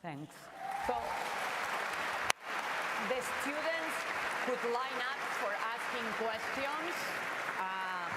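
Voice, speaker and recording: A moderator at a university Q&A, organizing the queue and giving instructions to students. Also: medium pitch. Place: university lecture hall. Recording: long hall reverb with crowd and noise.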